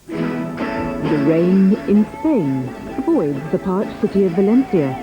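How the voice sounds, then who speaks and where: Low, expressive female trailer narrator. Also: takes it slowly. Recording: dull 1980s TV recording. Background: music.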